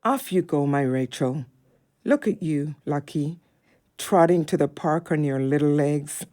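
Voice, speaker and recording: A woman playing a gentle, wistful dog watching over her young human in an audio drama, speaking tenderly. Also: low-pitched, expressive, measured pace.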